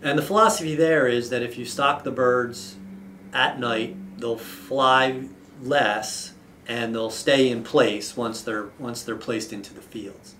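A man in his thirties explaining things in a formal, measured way with deliberate pauses, like an agency official being interviewed. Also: medium pitch, steady tone, sharp tone, slight background noise. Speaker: state wildlife agency official. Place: indoor office with wall map. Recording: roomy echo, slightly distant mic.

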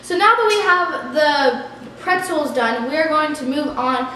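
Teenage girl, high voice, giggly, playful, casual cooking vlog, echoey kitchen, far camera, noisy. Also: a little slow, music in the background. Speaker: vlog co-host. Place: home kitchen, indoors. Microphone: far camera on a tripod.

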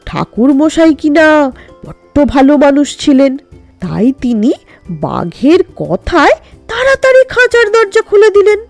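A woman narrating a children's story in an animated, expressive storytelling manner. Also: high voice, no room sound, soft background music. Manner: slow, animated, storytelling delivery.

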